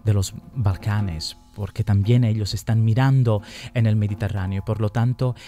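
A man's voice: on the low side, fairly even intonation, moderate pace, mellow, soft background music. A man, answering as a musician guest in a radio interview. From Sicily, Italy. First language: Italian or Sicilian. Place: radio studio. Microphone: close studio microphone with headphones.

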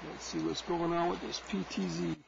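A gravelly-voiced man murmurs a relaxed running commentary as he works outdoors beside a nest box. He thinks aloud, pausing often with small fillers. The sound comes through a dull, lo-fi nest cam microphone with steady noise underneath. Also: fairly high pitch. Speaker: wildlife cam caretaker. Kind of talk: casual running commentary while working.

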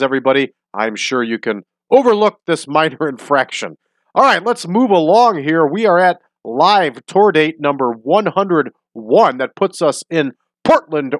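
A man's voice: on the high side, dry recording. A man with a stuffy, nasal voice from a sinus infection, hosting a wrestling simulation series in upbeat casual commentary. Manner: upbeat, addresses viewers as everybody. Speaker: host of a wrestling sim series.

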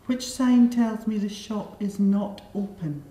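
A woman's voice: mid-range voice, slow, dark tone, reverberant, low-quality recording. A middle-aged woman interviewing an adult language learner, asking short simple questions with patient, encouraging repetition. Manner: patient, encouraging, repeats and reassures. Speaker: adult education assessor. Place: small studio set, indoors.

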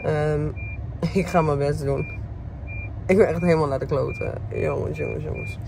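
A woman's voice: low-pitched, moderate pace, gravelly, very noisy. A woman, chatting casually to her phone camera, laughing often and dropping in English phrases. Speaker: vlogger. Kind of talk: casual vlog chat. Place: indoors, then in a parked and driving car. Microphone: phone at arm's length.

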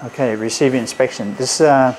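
A middle-aged man chats casually as he hosts a walk-through tour. His pitch is average and his manner agreeable and approving. Clear background noise of a working indoor space surrounds him. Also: fairly even intonation, moderate pace. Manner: agreeable, approving short responses. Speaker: host of factory tour. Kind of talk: casual on-site tour chat. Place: indoors in electronics factory rooms and assembly hall. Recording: noisy factory ambience, handheld camera sound.

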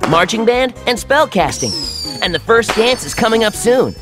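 A man's voice: high-pitched, sing-song, moderate pace, over loud music. A man voicing a keen young wizard class president, giving a peppy speech in a children's cartoon. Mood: enthusiastic. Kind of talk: animated children's story dialogue.

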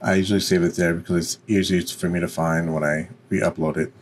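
A man with a deep voice giving an unscripted, step-by-step software tutorial. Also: flat delivery, fairly quick, a little hiss or hum. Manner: instructional, step by step. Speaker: tutorial presenter.

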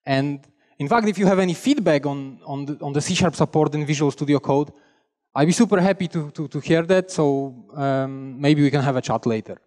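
A young man with a Polish accent talks through a headset mic on a big conference stage, his voice sitting a little high for a man. He is chatty and self-deprecating and often restarts his sentences. A long hall reverb trails behind his words. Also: brisk, darkish tone. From Poland. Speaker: conference speaker. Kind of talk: technical conference talk.

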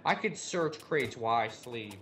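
A man's voice: medium pitch, expressive, moderate pace, a bit bright, a little hiss or hum. A young man chatting casually and crudely with a friend as they play a video game together. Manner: crude, profane, joking. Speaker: gamer in co-op let's play. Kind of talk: casual gaming chat with a friend.

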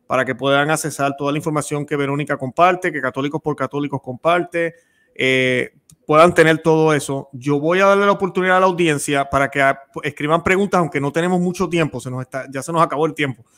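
A man in his thirties with a slightly high voice hosts a live-stream interview from a room at home. He sounds friendly and relaxed as he takes audience questions, speaking close to a studio mic. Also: fast. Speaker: host. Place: room at home with religious images. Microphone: studio mic close to mouth.

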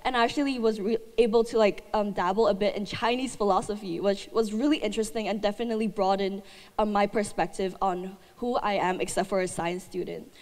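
Young female student speaker, chatty and upbeat, mid pitch, handheld mic with long hall reverb. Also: steady tone, brisk, darkish tone. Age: young adult. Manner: chatty, upbeat, many fillers. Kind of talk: presentation talk. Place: large hall. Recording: echoey hall sound.

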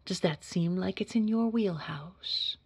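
Woman acting a hushed, low-voiced lady boss. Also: dry recording, full character voice. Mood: businesslike. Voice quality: hushed, breathy, husky. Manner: smooth, measured, formal and quietly controlling. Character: poised, sinister woman boss conducting a job interview. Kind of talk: scripted audio roleplay acting.

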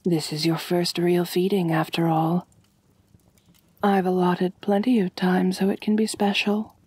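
A woman playing an elegant, doting, gently dominant vampire mistress in an intimate ASMR roleplay, coaxing in a soft, genteel voice close to the microphone. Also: lowish voice, flat delivery, dry recording. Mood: reassuring, poised. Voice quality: soft, breathy, husky. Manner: slow, coaxing, genteel, purring endearments. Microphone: close, dry microphone.

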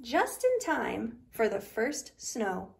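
A woman reads a picture book aloud for children. Her voice is high, and she takes her time, shaping each phrase with expressive, deliberate storytelling. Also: vocal fry.

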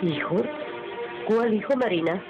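Una mujer interpreta a una señora noble, severa y distante, con habla formal y cortante, en una radionovela antigua. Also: female voice, medium pitch, a little slow, natural voice. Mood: startled. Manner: formal, curt, commanding. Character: stern, aloof noble lady of the house. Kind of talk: old Spanish-language radio drama acting. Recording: muffled old radio drama recording, music behind.

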